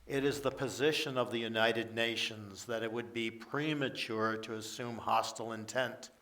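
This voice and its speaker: A man's voice: medium pitch, fairly even intonation, fairly bright tone, lightly acted. Formal man playing a statesman, distant mic. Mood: formal, reassuring. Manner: formal, official, deliberate. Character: formal United Nations Secretary General addressing press. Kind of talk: formal prepared statement in radio theater. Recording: distant from the microphone.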